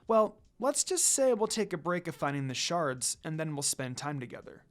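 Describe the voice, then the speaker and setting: A man voicing an affectionate young woman in a romantic story, with a soft, earnest manner. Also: fairly high pitch, sing-song, moderate pace. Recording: music under the voice.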